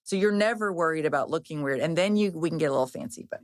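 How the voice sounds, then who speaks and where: Chatty fifty-something trainer woman, lowish voice. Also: fast talker, no echo, clean studio sound. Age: 50s. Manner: chatty, rambling, frequent restarts and fillers; frank, swears for emphasis. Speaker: personal trainer guest. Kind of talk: casual podcast conversation.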